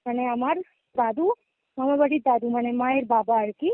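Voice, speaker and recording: A woman's voice: on the high side, fairly even intonation, fairly quick, no room sound. A young adult woman with a West Bengal Bengali accent tells a personal story casually as a caller, often checking in with her listener, over a narrow phone connection. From West Bengal, India. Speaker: guest caller. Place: remote call, dry sound. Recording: narrow tinny phone-call audio.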